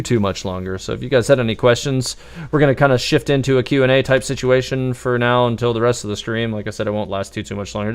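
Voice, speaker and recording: A man's voice: medium pitch, clean tone. A man giving casual, rambling live gameplay commentary, thinking aloud as he streams. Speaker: game livestreamer.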